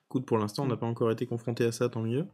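A man's voice: mid-range voice, level tone, very fast, dark tone. A man hosting a livestream, chatting casually with his guest, mostly with short backchannels and easy follow-up remarks. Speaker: livestream host. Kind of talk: casual chat.

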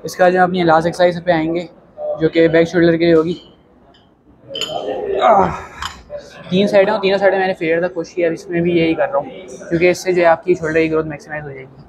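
Young guy talking fast to cam at the gym, kinda high flat voice, crowd noise around. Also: poor audio. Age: young adult. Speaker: gym vlogger. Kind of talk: casual talk to camera. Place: busy indoor gym.

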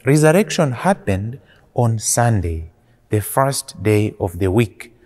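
A man in his forties, a priest giving a homily in slow, deliberate preaching with rising and falling emphasis, recorded indoors in a small church. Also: on the low side, lively intonation, darkish tone, in a large hall.

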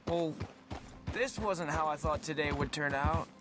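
Man as a high-voiced, naive young Westerner. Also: unhurried, close to an everyday voice. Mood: rueful. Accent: American. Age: young adult. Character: naive, earnest, insecure young man of the Old West. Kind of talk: video game dramatic acting. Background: music, horse hooves.

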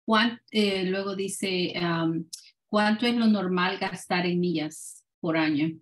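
Lower-voiced woman relaying questions. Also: slow. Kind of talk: reading out audience questions.

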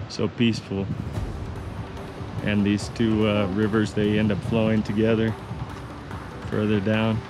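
A man casually narrating his mountain hike outdoors in a relaxed, unhurried way, with a few fillers. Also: fairly low pitch, music in the background. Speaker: hiking vlogger. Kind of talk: casual narration of a hiking trip.

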